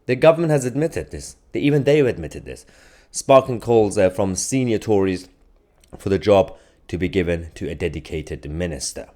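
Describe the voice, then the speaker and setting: A man's voice: deep voice, expressive, normal speed, mellow. A man hosting an online news channel, delivering opinionated commentary in emphatic bursts. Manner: emphatic, rising and falling in bursts.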